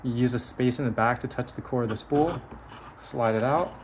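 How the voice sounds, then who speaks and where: A man's voice: medium pitch, normal speed, background noise. A man giving a hands-on technical demonstration of a device in a methodical, explanatory manner. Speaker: engineer presenting a prototype device.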